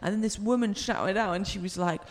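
A woman's voice: medium pitch, fairly quick. A woman, a guest comedian, chatting casually about her work. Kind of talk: casual chat. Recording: echoey hall, live venue.

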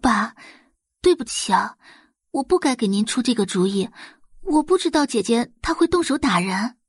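A woman's voice: a little high, very animated, normal speed, husky, no room sound, studio quality. A woman voicing a sweet, scheming young daughter in an audio drama, solicitous and dutifully meek. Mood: meek contrition.

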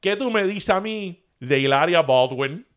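A man's voice: high voice, normal speed, noisy background. A man co-hosting a casual podcast chat, talking in a Cuban American accent and slipping Spanish phrases into his English. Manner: animated, drops into Spanish phrases. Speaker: podcast co-host.

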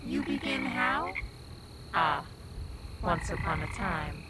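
Slow, swooping talking frog, played by an actor. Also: medium pitch, huge pitch swings, noisy background. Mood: slow, storytelling. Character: talking frog that tells folk tales. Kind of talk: audio drama acting.

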